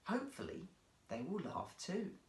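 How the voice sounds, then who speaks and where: A man voicing a kindly seal trainer at a zoo show in a children's story, with a hearty, deliberate announcer's delivery. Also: high-pitched, wildly swooping pitch, darkish tone, roomy sound, pitched above the performer's own voice. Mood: reassuring.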